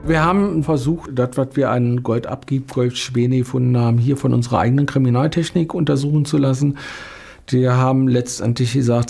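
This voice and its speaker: Middle aged cop being interviewed, lots of ums, mellow gravelly voice. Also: male voice, medium pitch. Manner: hesitant, frequent ums. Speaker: police investigator. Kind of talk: filmed interview.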